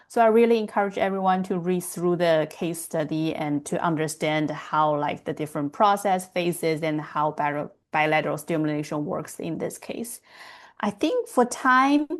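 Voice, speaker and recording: Female moderator for an online seminar Q&A, pitched a little low. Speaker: webinar moderator. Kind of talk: moderating a question and answer session.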